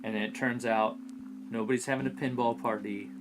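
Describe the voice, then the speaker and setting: A man's voice: medium pitch, steady tone, a little fast, background noise. A man co-hosting a casual fan podcast, talking things through inquisitively, recapping and posing questions. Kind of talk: casual discussion of an audio drama.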